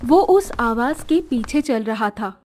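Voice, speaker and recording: Slow, high-voiced woman telling horror stories. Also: lively intonation, very noisy. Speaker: storyteller. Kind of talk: slow scripted horror story narration. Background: music.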